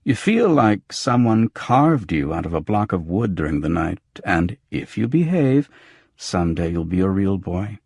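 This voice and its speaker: A man's voice: deep voice, very animated, measured pace, vocal fry, a little mellow, slightly rough, no echo, clean studio sound. A man reading a first-person noir audiobook, telling the story in a dramatic manner with emphatic swells. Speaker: audiobook narrator.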